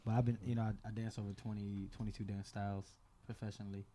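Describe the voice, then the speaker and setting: Low, mellow American man, casual guest. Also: little pitch movement, fast talker, slight background noise. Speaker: guest, musician and dancer. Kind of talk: casual interview chat.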